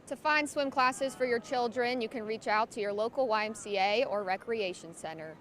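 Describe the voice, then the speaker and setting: A woman field reporter delivering a scripted local news story in a measured, clear way. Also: a little high, fairly bright tone, slight background noise.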